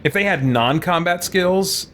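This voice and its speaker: A man's voice: sits high, animated, unhurried, noisy background. A man doing casual live gameplay commentary, thinking aloud and muttering to himself as he plays. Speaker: game streamer.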